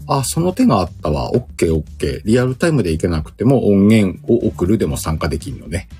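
A man's voice: sits low, big pitch swings, quick pace, warm tone, slightly rough, soft background music. A man hosting a casual livestream chat, speaking informally in slang and often chuckling. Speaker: livestream host.

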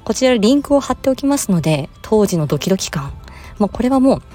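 A woman's voice: mid-range voice, sing-song, fast, mellow. A woman giving a promotional announcement in a polite, formal manner, like a presenter. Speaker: voice performer and channel host. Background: music.

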